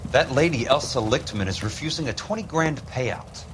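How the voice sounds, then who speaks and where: A man's voice: medium pitch, lively intonation, moderate pace, noisy background, close to an everyday voice. A man acting a dogged, tough but polite insurance investigator in a crime game, with an American accent and a terse, wry delivery. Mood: polite, insistent.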